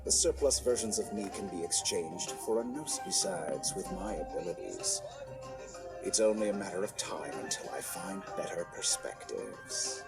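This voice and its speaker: A man voicing an arrogant, calculating villain scholar in a video game, speaking in a measured, condescending, theatrical way. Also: low voice, big pitch swings, creaky, exaggerated voice, low-quality recording. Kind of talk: dramatic video game voice acting. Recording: music under the voice.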